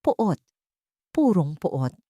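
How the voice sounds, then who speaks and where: Lady audiobook narrator, lowish voice, dramatic scripted storytelling, super dry recording. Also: very animated, drawn-out words, mellow, dry recording.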